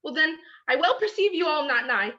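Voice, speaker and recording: A woman's voice: high-pitched, expressive, moderate pace, a bit bright. A woman playing a modest young noblewoman, speaking verse in a stage play. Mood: frightened. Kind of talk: Shakespearean stage acting. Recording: dull lo-fi sound.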